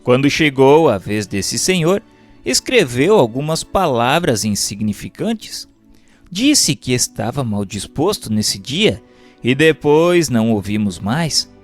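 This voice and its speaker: A Brazilian man reading formal scripted narration aloud in a measured, declamatory way, like an audiobook. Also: medium pitch, very animated. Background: music.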